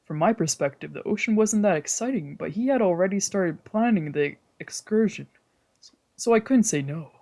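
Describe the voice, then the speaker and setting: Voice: mid-range voice, darkish tone. A streamer reading a visual novel story aloud as narration, sometimes stumbling and rereading phrases. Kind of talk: scripted narration read aloud in a let's play. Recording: game music behind the voice. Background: visual novel music.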